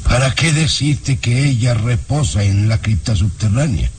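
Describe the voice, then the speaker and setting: An actor playing a stern, secretive baron and father in a melodramatic radio drama, commanding and deliberate. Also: mid-range voice, lively intonation, a little mellow, close to an everyday voice. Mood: grave, evasive. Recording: faintly noisy old radio recording.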